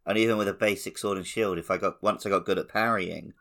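A man's voice: low voice, steady tone, quick pace, gravelly, fairly bright tone. A man co-hosting a podcast, talking casually about a video game. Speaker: podcast host.

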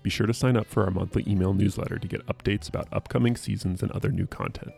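A man hosting a podcast and chatting casually, with a husky, creaky voice. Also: fairly low pitch, steady tone, quick pace, darkish tone, faint music behind. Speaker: podcast host. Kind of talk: casual chat.

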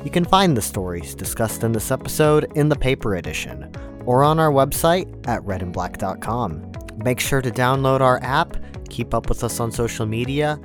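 A podcast host keeps questions short and polite, then reads the closing lines from a script. The voice sits in a middle range, with a faint bed of music behind it. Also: mid-range voice. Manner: polite, brief interviewer prompts. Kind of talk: interview questions and scripted outro.

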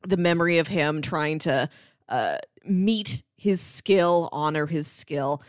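A woman with a fairly low voice speaks in casual conversation, explaining things as a podcast co-host. The sound is dry and close, with very little room in it. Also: slow pace, no echo. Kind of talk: casual film discussion and plot summary.

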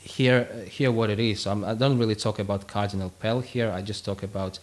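A man's voice: on the low side, fairly even intonation, brisk, a little mellow. A man whose first language is Russian, a guest philosopher explaining his ideas with many fillers and restarts, and reading his own passage aloud.

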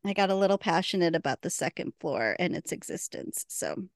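A woman's voice: lowish voice, expressive, brisk, no room sound, studio quality. A woman co-hosting a podcast, chatting casually and laughing often. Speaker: podcast co-host.

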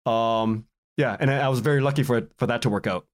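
A man speaking casually as a podcast guest, in a halting, rambling way, often repeating words and cutting himself off. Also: mid-range voice. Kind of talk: casual interview conversation.

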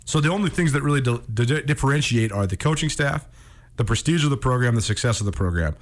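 A man's voice: mid-range voice, animated, fast. A man hosting a sports talk show, explaining and bantering in a casual, colloquial way with some wry exaggeration. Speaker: sports talk radio host.

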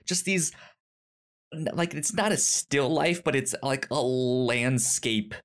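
A man hosting a podcast, talking casually about books in a halting way with repeated word starts. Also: medium pitch, a bit bright. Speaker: podcast host. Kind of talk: casual book discussion.

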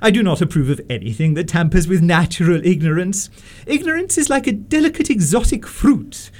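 A man playing a haughty, disapproving upper-class Victorian mother in a radio comedy, pompous and deliberate with swooping emphasis. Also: high-pitched, lively intonation. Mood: haughty interrogating. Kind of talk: stage-comedy radio acting.

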